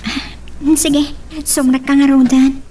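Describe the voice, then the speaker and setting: A woman's voice: high-pitched, level tone, takes it slowly, recorded from a distance, noisy background. A woman playing a sweet, troubled young woman in love, tender and affectionate, in an Ilocano radio drama. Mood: caring.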